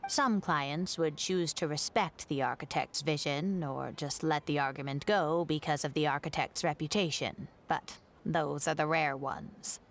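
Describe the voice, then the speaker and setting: A woman voicing a wise, kindly older professor in a video game, speaking in a measured, reflective way. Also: low-pitched, a little hiss or hum. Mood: matter-of-fact. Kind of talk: scripted game dialogue.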